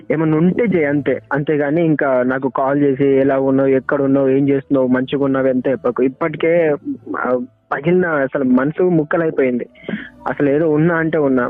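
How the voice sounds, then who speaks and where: Hurt, fast-talking young Telangana man on phone. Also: mid-range voice, steady tone, no echo. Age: young adult. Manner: reproachful, repeating phrases insistently. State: hurt, upset. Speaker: caller's former boyfriend. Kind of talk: emotional private phone argument. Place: phone call. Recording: tinny phone call recording with music. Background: music.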